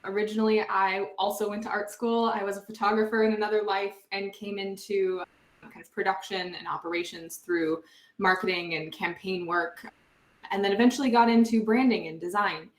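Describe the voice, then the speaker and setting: A woman's voice: medium pitch, steady tone, fairly quick, reverberant, poor audio. A woman panelist on a video call from a room with a brick wall, introducing herself in an upbeat, friendly, chatty way.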